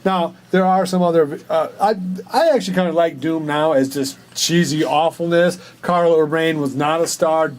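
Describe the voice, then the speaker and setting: A man co-hosting a casual chat about movies, giving blunt opinions in a halting, hesitant way. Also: fairly high pitch, darkish tone. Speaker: co-host. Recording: noticeable background noise.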